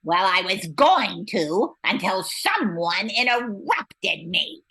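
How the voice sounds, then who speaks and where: A woman's voice: medium pitch, very animated, takes it slowly, pushed and bright, husky, heavily acted. A woman playing a formal, easily exasperated announcer and narrator in an old-time radio show.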